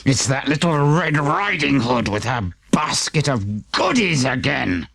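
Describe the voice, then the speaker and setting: A man's voice: mid-range voice, sing-song, a little slow, gravelly, fairly bright tone, heavily acted. A man voicing a grumpy big bad wolf pretending to be a grandma, sing-song and grumbling, in a children's audiobook. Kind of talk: audiobook character acting.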